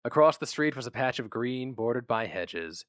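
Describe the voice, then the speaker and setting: Expressive man reading a story, dry sound. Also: mid-range voice, moderate pace, no echo. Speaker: story reader. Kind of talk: dramatic audiobook-style narration, acting out characters.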